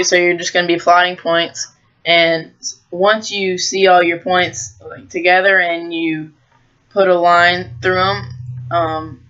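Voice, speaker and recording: An American teenage high school student giving an explanatory school presentation. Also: a bit bright, clean tone, muffled recording.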